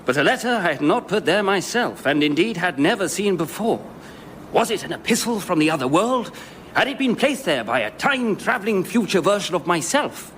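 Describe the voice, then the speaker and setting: An actor playing a fussy British gentlemen's club member, dramatically recounting an eerie story in radio comedy. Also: male voice, high-pitched, big pitch swings, a little fast. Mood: mysterious, earnest. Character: tall lean moustached clubman recounting eerie story. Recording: noisy live audience recording.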